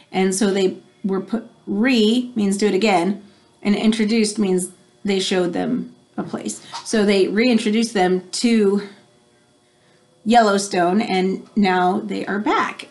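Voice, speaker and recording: An American woman in her thirties reading a children's nonfiction book aloud at home, pausing to explain things simply like a teacher. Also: mid-range voice, a little mellow. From United States. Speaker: read-aloud host for children. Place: small room at home, indoors.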